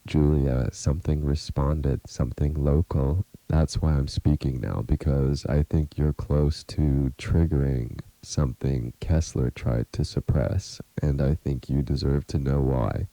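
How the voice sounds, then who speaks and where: A man playing a grave, haunted researcher in an audio drama, confiding a warning in a deliberate, ominous, earnest way. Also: a bass voice, steady tone, dark tone, no room sound, rough recording. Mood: urgent, foreboding. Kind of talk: scripted audio drama monologue, recorded message.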